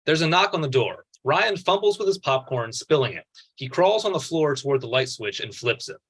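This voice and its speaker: A man's voice: fairly high pitch, lively intonation, brisk, fairly bright tone. A man reading out screenplay stage directions as scripted narration at a table read.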